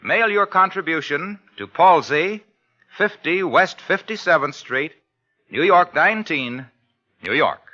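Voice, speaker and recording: A man's voice: fairly high pitch, animated, unhurried, sharp tone. A man reading scripted copy as a commercial and credits announcer in 1950s radio style. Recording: muffled old radio recording, music behind.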